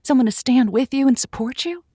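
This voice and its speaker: Animated, encouraging woman advice host. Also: high-pitched, fairly quick, breathy edge, dry recording, clean studio sound. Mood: earnest, coaxing. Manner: earnest pep talk with quaint turns of phrase. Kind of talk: comedic audio drama, conversational advice show.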